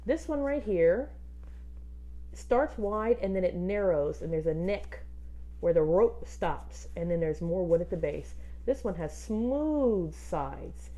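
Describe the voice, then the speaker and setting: A woman reading a children's book aloud expressively, like a teacher, pausing to instruct and put questions to young listeners. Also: lowish voice, dark tone. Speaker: music teacher reading a picture book to pupils.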